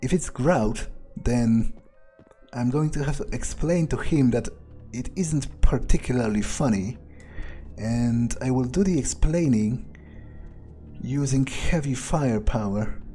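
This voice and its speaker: A man playing a foul-mouthed, sardonic vampire, musing aloud with wry, hesitant pauses as he plays a video game. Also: medium pitch, mellow, soft background music, natural voice. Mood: menacing, annoyed. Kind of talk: in-character playthrough narration, musing aloud.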